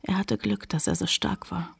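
An actress playing a reflective woman who narrates childhood memories in a radio play, in a measured storytelling manner. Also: lowish voice, very animated, vocal fry, breathy edge, full character voice.

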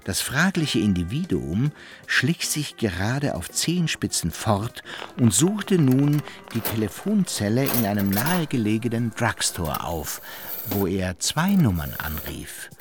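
A man's voice: fairly low pitch, very animated, a little slow. A man narrating a radio play in a scripted, literary storytelling style. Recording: music behind the narration.

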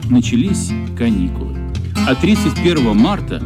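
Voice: mid-range voice, very animated, moderate pace. A narrator of a radio play delivering scripted story narration. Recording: loud music over the voice. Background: loud plucked guitar music.

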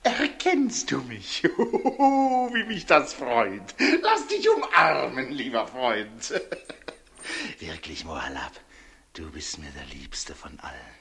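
An actor playing a fawning, morbidly affectionate executioner in a German radio play, chatty and theatrical, muttering and gushing by turns. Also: male voice, mid-range voice, wildly swooping pitch, slow pace, vocal fry, fairly bright tone, exaggerated voice. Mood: ecstatic. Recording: noisy old radio recording with clicks.

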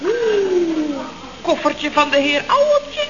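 A man putting on a shrill, gasping office lady. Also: very animated, slow, a crowd in the background, heavily acted. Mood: eager. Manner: gasping, eager questions. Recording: dull old radio recording with audience.

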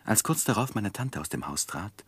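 A man's voice: on the low side, very animated, normal speed, breathy edge, no room sound. An actor playing an earnest, anxious young husband who also narrates his life in a 1960s German radio drama. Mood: reflective narration. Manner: earnest, hesitant in argument.

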